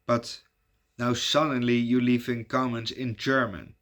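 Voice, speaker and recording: A Dutch man, native Dutch speaker, hosting his channel in halting casual chat full of fillers and restarts. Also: medium pitch, level tone, a bit bright. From Netherlands. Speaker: YouTube reviewer giving shout-outs.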